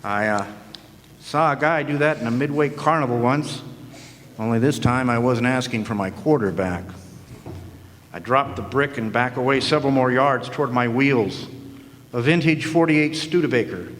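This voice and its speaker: A man's voice: mid-range voice, moderate pace, a bit bright. Wry hard-boiled male noir narrator. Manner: wry, sardonic. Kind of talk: 1940s noir detective narration in a live radio play. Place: historic club hall, live audience performance. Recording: long echoing hall reverb.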